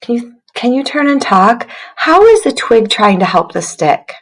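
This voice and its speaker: Female teacher for interactive picture-book reading, slow delivery, encouraging, puts questions to kids. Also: a little high, sing-song, poor audio. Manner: encouraging, questioning, addresses young listeners directly. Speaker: teacher reading aloud to children. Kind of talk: interactive children's storybook read-aloud.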